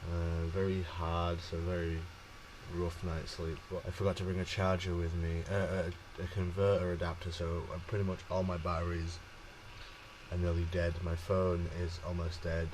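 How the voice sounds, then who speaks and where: A man's voice: deep voice, level tone, normal speed. A man giving a casual morning vlog update in a hostel room, rambling with many fillers. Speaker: travel vlogger. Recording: noisy room ambience.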